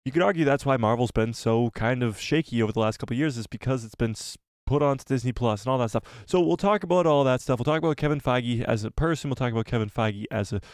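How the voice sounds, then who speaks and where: A man with a cold, losing his voice, hosting a casual live stream; chatty and excitable, he greets viewers and reads out the chat. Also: mid-range voice, quick pace, hardly any pauses, dry recording, studio quality.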